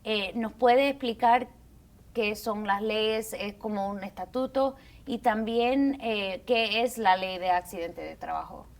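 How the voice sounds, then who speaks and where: A woman's voice: mid-range voice, slow pace. Courteous woman hosting an interview. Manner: courteous and formal. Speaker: interview host. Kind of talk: hosting a call-in legal segment.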